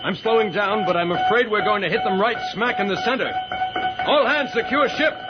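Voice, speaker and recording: A man's voice: high-pitched, a little fast, lightly acted. A man acting an alert, commanding rocket pilot with urgent authority in an old radio serial. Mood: urgent, worried. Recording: muffled old radio with loud noise.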